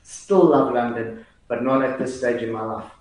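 A man's voice: mid-range voice, normal speed, a little mellow, far from the mic. South African man in a very echoey room. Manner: hesitant, many fillers and restarts. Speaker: guest. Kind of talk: casual chat.

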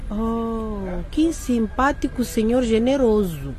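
Sly, taunting young schemer. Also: female voice, a little high, expressive, takes it slowly, dark tone, slight background noise. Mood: sardonic. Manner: taunting, sardonic. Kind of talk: radio drama acting.

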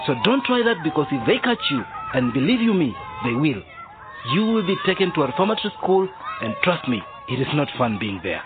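A man's voice: fairly high pitch, big pitch swings, a little fast, over music. A man playing a genial but firm police sergeant and father, teaching boys in a radio drama, chuckling and hesitating. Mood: firm, stern. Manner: chuckling, didactic, hesitant fillers. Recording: noisy, tinny phone-like radio drama recording.

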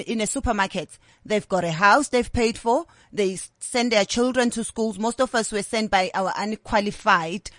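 A South African woman in her thirties speaks as a comedian guest, telling frank, lively stories. Her voice sits at an ordinary pitch for a woman. The sound is dry and close. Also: no echo. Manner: candid, animated storytelling mixing English with Zulu. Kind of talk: interview conversation.

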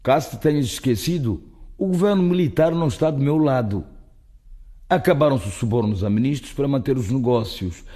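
Husky, mellow actor as a bitter fallen businessman. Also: mid-range voice, fairly quick, lightly acted. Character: fallen rich businessman, wary, bitter fugitive. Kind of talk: radio drama acting.

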